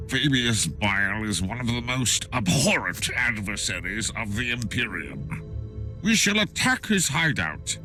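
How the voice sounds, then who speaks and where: An actor playing a scornful, zealous Inquisitor, imperious and declamatory, in a theatrical game cutscene. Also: male voice, fairly low pitch, very animated, a little slow, bright, forceful tone, faint music behind, heavily acted, rough recording. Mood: dismissive.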